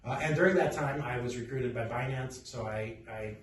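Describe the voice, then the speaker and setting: Man talking from far in an echoey room. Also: medium pitch. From Peru. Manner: halting, many restarts and fillers. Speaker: company CEO. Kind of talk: casual self-introduction talk. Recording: echoey room, distant mic, faint noise.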